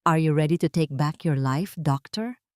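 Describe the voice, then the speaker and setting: A woman voicing a formal, solemn and determined male lawyer in an audio drama, speaking in a measured way. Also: low-pitched, no room sound, studio quality. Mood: solemn, resolute.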